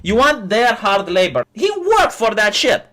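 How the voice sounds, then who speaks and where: A man's voice: high-pitched, big pitch swings, measured pace, music in the background. A man in a heated online rant, indignant, stammering and hurling insults. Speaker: clipped opponent being rebutted.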